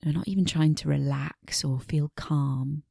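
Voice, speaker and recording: A woman meditation teacher guiding a body scan in a gentle, unhurried manner, pausing between short phrases, with soft phrase endings that fade toward breathiness. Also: low-pitched, steady tone, vocal fry, no room sound, clean studio sound.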